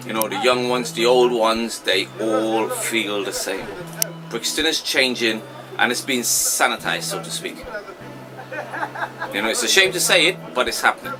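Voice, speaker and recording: A man's voice: mid-range voice, rough recording. A man in his forties from Trinidad, a shop owner giving earnest, rueful interview answers full of fillers. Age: 40s.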